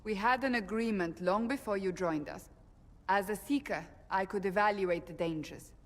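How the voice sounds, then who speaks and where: A woman's voice: medium pitch, normal speed, natural voice. An actress playing a blunt, principled female warrior of a holy order in a game, firm and formal in manner. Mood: grave, persuasive. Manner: firm, formal, matter-of-fact. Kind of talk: dramatic game voice acting. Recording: long reverb.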